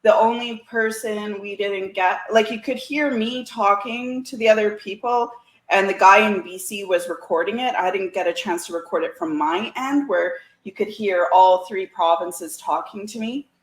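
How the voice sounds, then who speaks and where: A woman's voice: medium pitch, moderate pace, roomy sound, poor audio. A woman with a Canadian accent, chatting informally as a guest in a casual conversation. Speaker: guest, CB radio community organiser. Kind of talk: casual chat.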